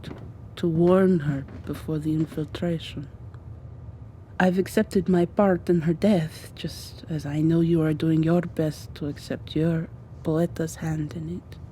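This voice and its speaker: A woman voicing a devoted, guilt-ridden rescuer in an ASMR roleplay drama, hushed, halting and earnest. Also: deep voice, steady tone, a little hiss or hum, low-quality recording. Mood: remorseful, tender.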